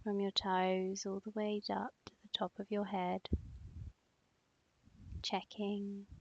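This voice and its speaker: A woman leading a guided meditation in a soothing, instructing manner, with long pauses and breathy phrase endings. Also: medium pitch, little pitch movement. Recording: slightly muffled, dull close dry recording.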